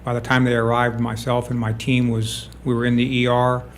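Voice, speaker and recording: A man's voice: medium pitch, fairly quick, a little hiss or hum. A man from California, a doctor guest on a radio show, speaking in a matter-of-fact way. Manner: matter-of-fact, even delivery.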